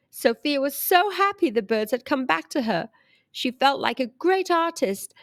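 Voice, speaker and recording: Female kids' storybook narrator. High, very animated read, expressive scripted delivery, dry sound. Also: dry recording. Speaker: children's storybook reader.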